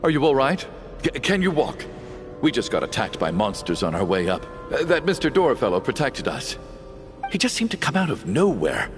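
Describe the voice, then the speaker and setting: Game role: stammering, sentimental middle-aged father, hugely expressive intonation, over a music bed. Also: mid-range voice, moderate pace. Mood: grateful, flustered. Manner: hesitant, stammering. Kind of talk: video game dialogue acting. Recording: music behind.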